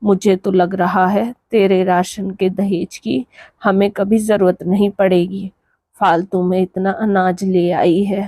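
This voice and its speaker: A woman's voice: medium pitch, level tone, takes it slowly, warm tone, no echo, clean studio sound. A woman voicing a scolding, reproachful older mother-in-law in a cartoon moral story. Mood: scornful.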